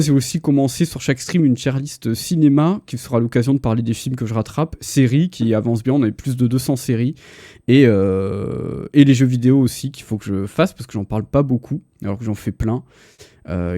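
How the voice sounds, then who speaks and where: A man's voice: mid-range voice, fairly quick, dark tone, no room sound, studio quality. A man, hosting a casual, slangy pop culture livestream chat with enthusiasm. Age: 30s. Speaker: host. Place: indoor livestream.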